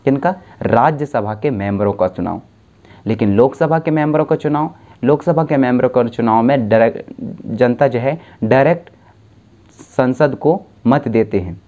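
A young man lectures to camera from a dim room at a desk, using a clip-on mic. His voice is mellow and his pitch sits in the middle. He lays out each point patiently, restarting and correcting himself as he goes, and the recording sounds a little muffled. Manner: explains slowly with self-corrections and restarts. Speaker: civics tutor. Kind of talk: exam-prep lecture to camera.

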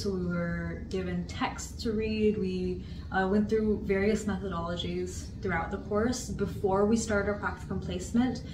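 A woman in her twenties, a practicum student, answering interview questions in a composed, articulate and fluent way. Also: mid-range voice. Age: 20s. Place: plain studio room, standing. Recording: distant roomy sound with clear hiss.

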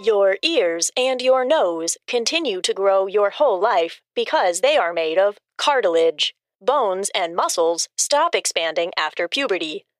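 A woman giving scripted informational narration in a matter-of-fact, deadpan manner. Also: mid-range voice, no echo.

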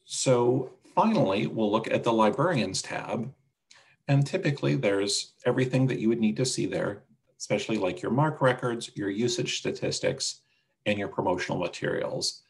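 A man with a gravelly voice presenting a product demonstration and answering questions on a video call. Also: on the low side. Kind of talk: webinar demonstration and Q&A. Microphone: video call microphone.

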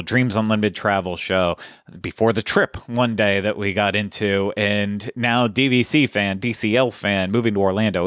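A man in his thirties chatting casually as a podcast producer, with a flat, monotone delivery into a studio mic at home. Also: fairly low pitch, no echo. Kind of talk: casual chat. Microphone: studio mic on boom arm.

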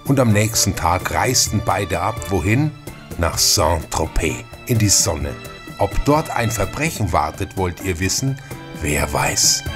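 Ein Schauspieler, der als Erzähler durch ein Krimihörspiel führt. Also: male voice, low-pitched, lively intonation, a little slow, music in the background. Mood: storytelling. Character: storytelling male narrator of a crime play. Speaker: narrator. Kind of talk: scripted radio play narration.

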